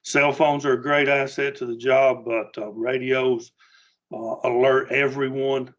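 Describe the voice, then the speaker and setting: A man with a Southern US accent giving an informal safety briefing to utility line workers in a halting, plain-spoken, earnest way. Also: mid-range voice, flat delivery, lo-fi sound.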